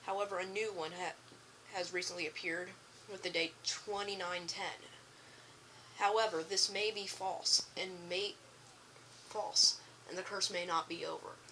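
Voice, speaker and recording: A woman reading a scripted emergency broadcast message as an announcer, in a halting way with some stumbles. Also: fairly low pitch, fairly even intonation, pushed and bright, slight background noise, low-quality recording.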